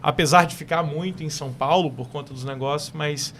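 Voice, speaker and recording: A man's voice: fairly high pitch, a little fast, fairly bright tone, a little hiss or hum. Eager young man from Espírito Santo. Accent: Brazilian Portuguese from Espírito Santo. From Espírito Santo, Brazil. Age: young adult. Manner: enthusiastic, repeats and restarts phrases. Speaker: guest entrepreneur. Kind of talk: talk show interview.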